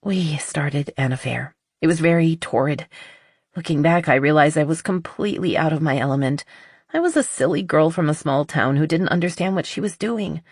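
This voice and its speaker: An audiobook narrator voicing a nervous, earnest middle-aged woman confessing, with a halting, shaky delivery. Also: mid-range voice, lively intonation, slightly rough, no echo, clean studio sound. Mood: regretful.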